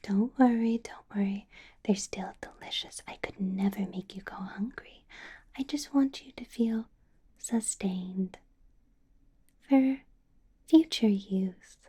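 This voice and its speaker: A woman voicing a doting, possessive vampire boss in an ASMR roleplay, in a soft breathy whisper with a coaxing, controlling sweetness. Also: mid-range voice, slow pace, long pauses. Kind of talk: ASMR roleplay, close whispered personal attention.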